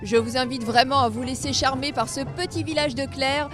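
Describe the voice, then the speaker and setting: A woman's voice: high-pitched, steady tone, normal speed, music in the background. A woman hosting a local programme, interviewing people on location as a reporter. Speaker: reporter and show host.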